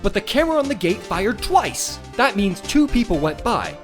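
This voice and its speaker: Man acting an animated, dogged young defense lawyer. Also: sits high, moderate pace, music in the background. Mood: pressing, accusing. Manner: insistent, questioning, emphatic. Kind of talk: video game fan voice acting.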